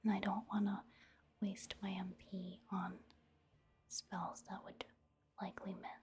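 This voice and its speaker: A woman giving casual, gentle ASMR commentary on a video game in a hushed, breathy whisper, unhurried and soft-spoken. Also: medium pitch, level tone.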